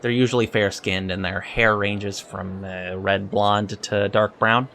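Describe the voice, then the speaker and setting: A man's voice: fairly low pitch, little pitch movement, unhurried, a bit bright. A man playing an earnest young alien cadet in an audio drama, speaking politely and precisely with hesitant ums. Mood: chatty, fond. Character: earnest young male alien Starfleet cadet, eager and scholarly. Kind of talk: audio drama interview acting.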